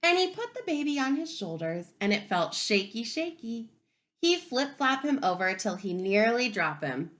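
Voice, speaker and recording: A woman teacher reading a picture book aloud to young children in an expressive, instructive way, pausing to ask questions. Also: on the high side. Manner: animated, instructive, asking the listeners questions.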